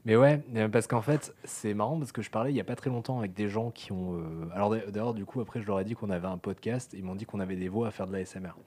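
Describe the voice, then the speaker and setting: A man's voice: on the low side, fairly even intonation, quick pace, few pauses, darkish tone, slightly rough. A man co-hosting a podcast, joking around and filling with casual phrases. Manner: joking, heavy use of filler phrases. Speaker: podcast co-host.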